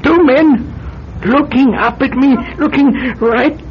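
An actor playing a paranoid, secretive old man who mutters haltingly to himself in an old-time radio drama. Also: extremely high pitch, slight background noise, exaggerated voice. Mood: panicked. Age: older. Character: old frightened, paranoid, secretive male recluse. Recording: dull lo-fi radio drama recording.

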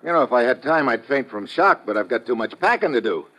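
A man's voice: mid-range voice, animated, fast talker, a bit bright, low-quality recording. An American man acting a tough, cynical government troubleshooter in a sardonic 1950s radio adventure style. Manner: sardonic, hard-boiled.

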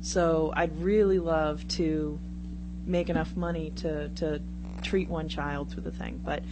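Lowish-voiced woman chatting, humming noisy recording. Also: fairly even intonation, slow. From Brockton, Massachusetts, United States. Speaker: guest, paranormal class organizer at a store. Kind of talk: casual interview chat. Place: radio studio. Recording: noisy studio audio with hum.

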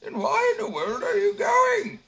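A man voicing a tiny, magical old man in a very high, slow, squeaky falsetto, reading a storybook aloud. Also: big pitch swings, gravelly, pushed and bright, exaggerated voice, rough recording. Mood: curious.

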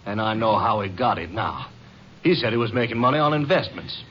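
A man's voice: lowish voice, lively intonation, a little fast, fairly bright tone. A man playing an earnest, cooperative bank official in a 1950s radio drama. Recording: muffled, noisy 1950s radio recording.

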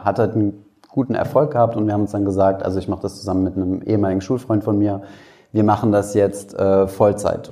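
A man's voice: lowish voice, warm tone. A young man introducing himself on a school panel, talking casually and hesitantly with many fillers. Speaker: panelist, finance YouTuber.

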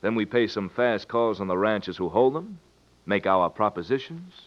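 An actor as a deep-voiced scheming swindler boss. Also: male voice, lively intonation, lightly acted. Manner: superior, measured, commanding. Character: smooth, scheming, bossy swindler gang leader. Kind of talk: old-time radio western acting. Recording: dull vintage radio recording.

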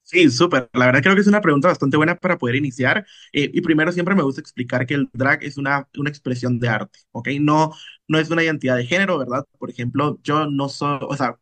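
A man's voice: a little high, brisk, a bit bright, no room sound. A man with a Guatemalan Spanish accent, a podcast guest explaining things conversationally and often checking for agreement. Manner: explanatory, often adding tag questions to check understanding. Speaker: podcast guest, drag artist.

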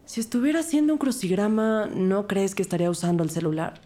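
A woman's voice: mid-range voice, lively intonation, fast talker, mellow, close to an everyday voice. A woman playing a skeptical young police detective who narrates her investigation in an audio drama. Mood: suspicious, puzzled. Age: young adult. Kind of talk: audio drama dialogue and first-person narration.

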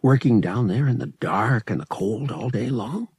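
A man's voice: mid-range voice, animated, moderate pace, a little mellow, slightly rough, no echo, clean studio sound. A man voicing a roguish young male pilot in an audiobook, casual and coaxing, with a sceptical streak. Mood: casual, probing. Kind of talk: audiobook character acting.

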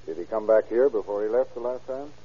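An actor playing a wry, sharp-eyed American lawman, the grumbling partner in an old-time radio crime drama. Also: on the low side, fast talker, lightly acted. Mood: inquisitive. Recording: muffled, noisy vintage radio recording.

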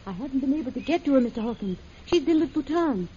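Earnest, anxious young heroine, high voice, deferential, vintage lo-fi radio serial. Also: female voice, animated, fast talker, dark tone. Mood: confiding. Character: earnest, pleading young woman, loyal and fearful. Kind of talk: 1940s radio serial acting. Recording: muffled, faintly hissy old radio recording.

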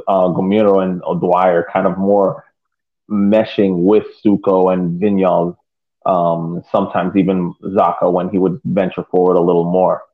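A man co-hosting a casual sports podcast, thinking aloud haltingly as he analyses play, heard over a tinny phone-like connection. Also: deep voice. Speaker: podcast co-host.

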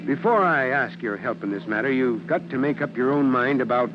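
An old, muffled radio recording with clear car noise behind the voice. A man acts a middle-aged American fire chief of medium pitch. He speaks firmly and with fatherly authority, a veteran used to command. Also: a little fast, close to an everyday voice. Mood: grave, fatherly. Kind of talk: 1940s-50s American radio drama acting.

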